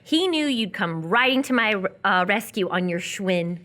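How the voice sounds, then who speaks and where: A woman's voice: medium pitch, expressive, measured pace, pushed and bright. An actress playing a lonely, guarded young woman with a dry, blunt, wounded way of talking in live radio theatre.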